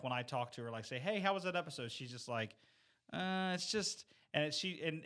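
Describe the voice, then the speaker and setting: A man's voice: medium pitch, a bit bright. A middle-aged man with an American accent chatting casually as a podcast co-host, in a rambling, talkative way. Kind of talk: casual group chat.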